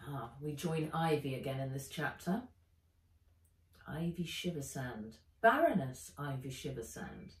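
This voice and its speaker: A woman narrates a children's storybook in an expressive, dramatic style. She takes her time and varies her pitch widely, in an echoey room a little away from the microphone. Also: a little slow. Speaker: author reading own book aloud. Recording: roomy echo, a little far from mic.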